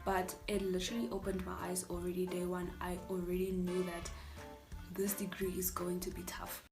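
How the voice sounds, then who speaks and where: A South African woman in her twenties, a native Afrikaans speaker, telling her student story in a chatty, animated way with breathy asides. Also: on the low side, moderate pace, over music. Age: 20s. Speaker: vlogger, university student. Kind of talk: candid personal storytelling vlog.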